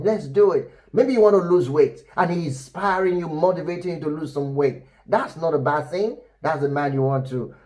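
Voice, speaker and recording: Loud, emphatic man giving relationship advice. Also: a little high. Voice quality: loud, full voice. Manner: emphatic and repetitive, with frequent "you know" and "all right?" check-ins. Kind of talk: unscripted advice talk to camera.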